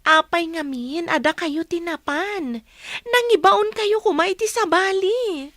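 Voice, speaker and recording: An actress with a Filipino accent playing a questioning, insistent young daughter in a radio drama. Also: female voice, very high voice, a little slow, fairly bright tone, no room sound, clean studio sound.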